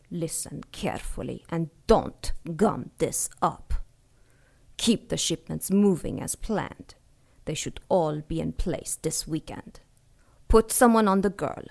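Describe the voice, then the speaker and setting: A woman's voice: lowish voice, animated, takes it slowly, vocal fry, long pauses, full character voice. An actress playing a scornful, commanding cult seneschal in a pulp radio drama, condescending to those beneath her. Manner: condescending, commanding, clipped orders. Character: imperious, scornful female seneschal of secret sinister cult.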